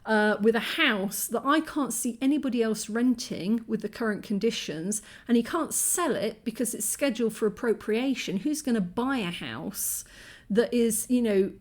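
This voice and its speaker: Worn out woman with a croaky voice, kinda high pitched, rambling and fed up, lots of ums. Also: moderate pace. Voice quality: croaky, strained voice. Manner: frustrated, rambling with many ums and restarts. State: exhausted and sleep-deprived. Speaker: vlogger. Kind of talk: candid talking-head vlog.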